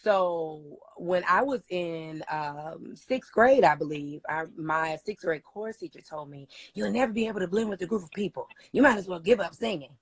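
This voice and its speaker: A young woman with a low, raspy singer's voice talks as an interview guest, gushing about music with plenty of ums and likes. Her voice sits fairly low and the sound is dry and close. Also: moderate pace, hardly any pauses, no echo. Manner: chatty, enthusiastic, many fillers. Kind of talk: casual interview chat.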